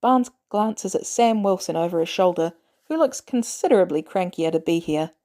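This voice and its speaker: A woman reading scripted fiction as an audiobook narrator, with expressive delivery. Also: lowish voice, moderate pace.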